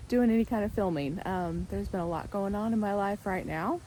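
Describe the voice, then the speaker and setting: Raspy-voiced woman vlogging outdoors. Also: mid-range voice, moderate pace, dark tone, a little hiss or hum, poor audio. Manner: chatty, rambling with many ums. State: raspy from a sore throat. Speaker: vlogger, gardening channel host. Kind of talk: casual garden vlog. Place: outdoors in a windy garden. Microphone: phone held in hand.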